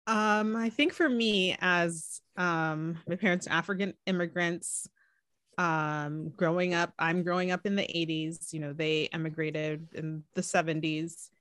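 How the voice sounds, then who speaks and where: A woman's voice: on the low side, fairly even intonation, a little slow, a bit bright, no echo. A woman from California, a spiritual coach guest in an interview, speaking thoughtfully with frequent ums. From United States, California. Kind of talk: conversational interview.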